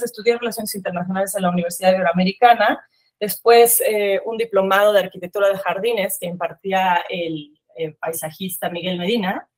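A woman in her forties from Mexico City with a Mexican accent, giving a webinar talk on a video call, conversational with many fillers and restarts. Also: mid-range voice. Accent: Mexican Spanish. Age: 40s. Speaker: landscape architect giving a webinar. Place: room with bookshelves. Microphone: video call webcam.

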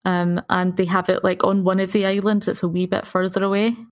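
A woman's voice: medium pitch, little pitch movement, fairly quick. A woman chatting casually as a panellist on a podcast. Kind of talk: casual chat.

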